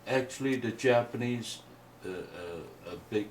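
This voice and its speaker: A man whose first language is Mandarin, teaching a painting workshop in a halting way with many restarts. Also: fairly low pitch. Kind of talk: hesitant workshop explanation. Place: indoor workshop room. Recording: distant mic with faint noise.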